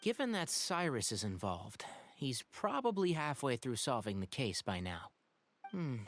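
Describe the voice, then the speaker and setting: Boyish forest ranger for a game, brisk, responsible, animated pitch, decisive. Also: male voice, exaggerated voice. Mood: thoughtful. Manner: polite, precise, decisive. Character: youthful, level-headed male forest watcher, brisk and responsible. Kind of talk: video game voice acting.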